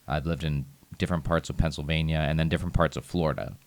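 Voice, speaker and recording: A man, a guest chatting casually, relaxed and rambling, laughing often. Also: a bass voice, fast, no room sound. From United States. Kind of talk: casual chat.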